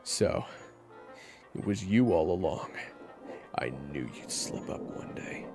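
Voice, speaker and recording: Man playing a very deep, menacing vengeful gunslinger. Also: expressive, slow pace, music in the background, full character voice.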